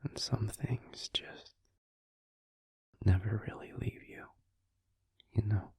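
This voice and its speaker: Man playing a low, breathy whispering vampire boyfriend. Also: dry recording, heavily acted. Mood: gentle, understanding. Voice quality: breathy, hushed, mostly whispered. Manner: soothing, tender, slow and reassuring. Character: gentle vampire boyfriend comforting his partner. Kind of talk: intimate whispered comfort roleplay audio. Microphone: close microphone.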